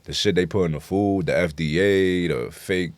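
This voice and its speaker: New york guy, real deep voice, rapper chatting in an interview, lots of slang, dry sound. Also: normal speed, no echo. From New York City. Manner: blunt street slang, frequent affirmations. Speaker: interview guest, rap artist. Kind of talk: casual conversational interview, slangy.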